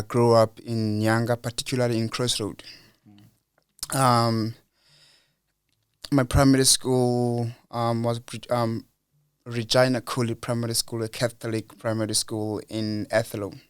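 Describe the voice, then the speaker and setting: A man's voice: medium pitch, little pitch movement, unhurried, smooth, no echo, clean studio sound. A young South African man as a podcast guest, talking earnestly and reflectively, repeating words as he goes. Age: young adult. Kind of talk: casual interview talk.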